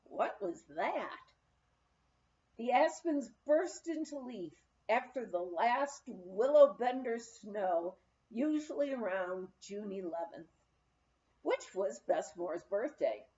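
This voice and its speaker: A woman's voice: mid-range voice, expressive, slow pace, darkish tone, lo-fi sound. A woman reading a memoir aloud as scripted, storytelling narration. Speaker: audiobook reader.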